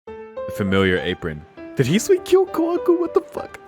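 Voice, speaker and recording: A man's voice: mid-range voice, wildly swooping pitch, moderate pace. A man streaming a visual novel, reading the text aloud in a casual way and reacting with incredulous, jokey swearing. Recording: music playing behind the voice. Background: guitar music of a game soundtrack.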